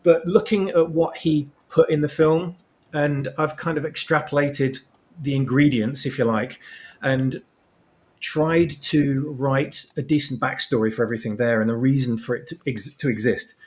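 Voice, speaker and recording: A man's voice: medium pitch, fairly even intonation, normal speed. A man talking as an interview guest and writer, explaining his ideas thoughtfully and searching for the right words. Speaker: guest, fan fiction writer.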